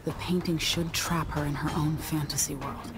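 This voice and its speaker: Woman voicing young empress, very low pitch, restrained, determined, video game acting, music behind. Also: fairly even intonation, moderate pace, natural voice. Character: resolute young empress reclaiming her stolen throne.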